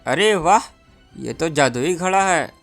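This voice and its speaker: An honest village father and milkman from a cartoon folk tale, voiced by a woman. The voice sits on the low side, earnest and full of exclamation, with soft music underneath. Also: lively intonation, slow pace, heavily acted. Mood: amazed. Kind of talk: Hindi moral-story cartoon dub.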